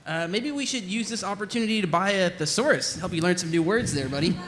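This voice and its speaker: A man playing a sarcastic, mouthy young soldier in an animated comedy table read, needling whoever he talks to. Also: high-pitched, fast talker, rough recording.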